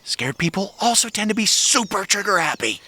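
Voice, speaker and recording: An affable, nervous male sidekick in an audio drama. His pitch is very mobile and animated. He talks in hesitant, rambling runs with a wry, self-deprecating edge. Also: a little fast, heavily acted.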